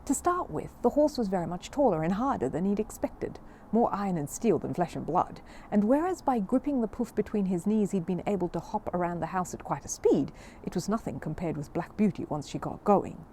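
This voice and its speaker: A woman reading a children's story aloud in an expressive, amused storybook style. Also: medium pitch, brisk, slight background noise.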